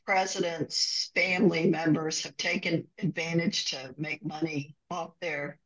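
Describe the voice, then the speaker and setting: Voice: mid-range voice, level tone, a little slow, a loud crowd, low-quality recording. A person leading a casual group discussion about books in a conversational way.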